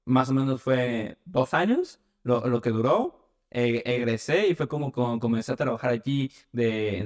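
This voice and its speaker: A man in his twenties from Mexico City with a Mexican accent, answering casually as an interview guest. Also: medium pitch. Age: 20s. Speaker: interview guest, medical student and paramedic.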